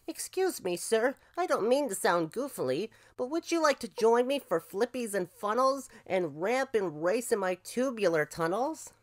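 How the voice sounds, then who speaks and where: An eager little storybook prairie dog played by a reader, lifted high in pitch. He is courteous and friendly, with an inviting manner. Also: sing-song, moderate pace, hardly any pauses, lightly acted. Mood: polite, eager.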